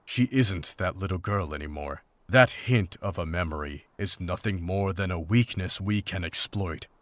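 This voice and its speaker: A man playing a stern, pragmatic older monster hunter in a fantasy visual novel, speaking in a formal, measured, unsentimental way. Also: sits low, no room sound, natural voice. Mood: cold, resolute.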